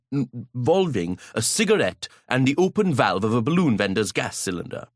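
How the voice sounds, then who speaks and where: A man reads an audiobook in the first person with a pompous, grandiloquent air. His voice sits at an ordinary pitch but swings freely up and down, and now and then he trips into a stutter. The recording is close and dry. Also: no room sound. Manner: occasional stutter. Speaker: audiobook narrator.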